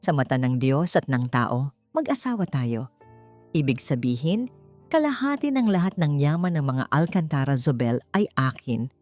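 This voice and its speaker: A woman's voice: low-pitched, sing-song, moderate pace, darkish tone, no echo, voice pushed down. A woman plays a greedy, manipulative husband from a melodramatic audio drama. The delivery is pressing and theatrical, in a dry recording with faint music behind it. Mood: smug, falsely sweet. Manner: falsely sweet, pressing, dramatic. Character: smug, greedy husband in jail, turning desperate and pleading. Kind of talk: Tagalog audio drama acting.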